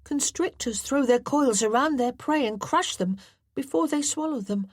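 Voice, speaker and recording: Woman reading as a proper little boy, high and lively, soft breathy voice, talks all precise. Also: moderate pace, no echo, studio quality. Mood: eager. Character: eager, earnest well-bred young boy.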